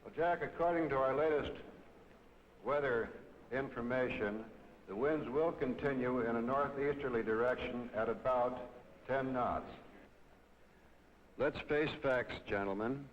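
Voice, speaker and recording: A man with an American accent delivers a sober, measured report as an official at a formal briefing. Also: mid-range voice. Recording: dull, reverberant old film sound, faint noise.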